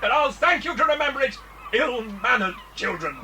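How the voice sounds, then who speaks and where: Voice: high voice, animated, moderate pace, sharp tone. An actor playing an indignant, pompous, eccentric man in an audiobook drama. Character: Horace Tipperlong, indignant pompous eccentric man held captive. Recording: distant, noisy cassette transfer.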